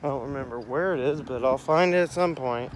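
A young man casually rambling to viewers as he walks outside with a handheld phone, narrating what he sees and often repeating himself. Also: mid-range voice. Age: young adult. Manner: repetitive, unhurried narration of surroundings. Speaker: amateur vlogger. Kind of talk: casual rambling walk-along vlog. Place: outdoors, walking along a street and pier trail. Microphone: handheld phone at arm's length. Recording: noisy outdoor phone recording with traffic.

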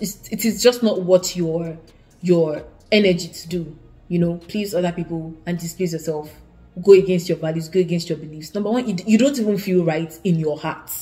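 A woman's voice: fairly low pitch, dark tone. A young woman from Nigeria with an Igbo accent, giving earnest, casual advice to camera in a living room and often restarting her sentences. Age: young adult. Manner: earnest, repeats herself, many restarts. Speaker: student vlogger.

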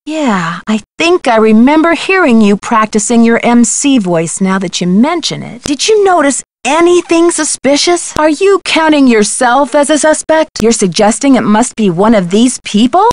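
A woman's voice: on the high side, no room sound. A woman playing a plucky, determined young amateur detective in a video game, earnest and inquisitive. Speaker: voice actress in a detective adventure game. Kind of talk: scripted video game dialogue.

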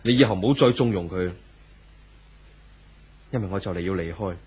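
Low, husky, melancholy young man. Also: a little fast, a little mellow. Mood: grave determination. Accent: Hong Kong Cantonese. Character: resigned, melancholy lovesick man of twenty-five. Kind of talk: 1970s Cantonese radio drama acting.

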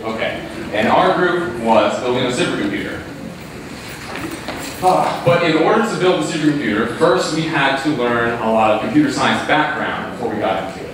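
A man's voice: medium pitch, expressive, normal speed. Very echoey young American man presenting. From Kentucky, United States. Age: teens, high school student. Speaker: student presenter. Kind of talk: group presentation to an audience. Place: large auditorium stage, far camera. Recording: echoey hall, far-off mic, heavy noise.

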